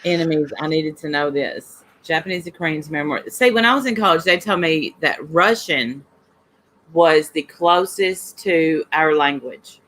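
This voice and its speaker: A woman hosting a relaxed conversation. She talks candidly and pokes fun at herself, with casual swearing mixed in. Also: low-pitched, moderate pace. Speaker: host. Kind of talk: casual chat.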